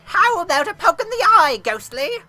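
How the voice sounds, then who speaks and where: An excitable young storybook hero, played by someone reading aloud, cheeky and quick with a wisecrack. The voice is pushed very high and bright, full of exclamation. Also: soft background music, heavily acted. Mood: taunting. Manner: high-pitched exclamatory delivery.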